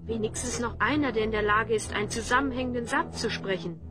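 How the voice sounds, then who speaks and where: Bossy young fantasy hero woman acting, fairly high voice, dry and resolute, radio play. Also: fast, music in the background, close to an everyday voice. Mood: wry, questioning. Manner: commanding, dryly sarcastic. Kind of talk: fantasy audio drama acting.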